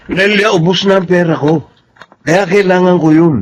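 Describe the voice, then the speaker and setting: A man's voice: high voice, fairly even intonation, a little hiss or hum, close to an everyday voice. A man acting in a Filipino radio drama as a domineering, hard-drinking father with a blustering, bullying manner. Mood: demanding. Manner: bullying, blustering, quick to challenge.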